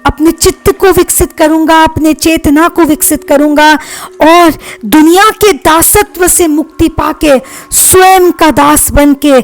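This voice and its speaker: A woman leading a guided meditation as a life coach, speaking in a soothing, deliberate, instructing way. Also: high voice, steady tone. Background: meditation music.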